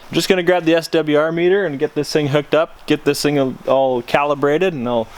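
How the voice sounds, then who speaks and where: A man's voice: a little high, fairly even intonation, a little fast. A man talking informally in a casual DIY vlog, thinking aloud as he works inside a vehicle. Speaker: vlogger. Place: vehicle cabin.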